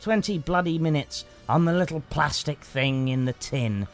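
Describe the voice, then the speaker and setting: A man's voice: fairly high pitch, animated, normal speed, soft background music, close to an everyday voice. An actor playing an arrogant, scornful male performance artist who talks in a haughty, sneering way. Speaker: rival actor. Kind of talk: improvised comedy banter.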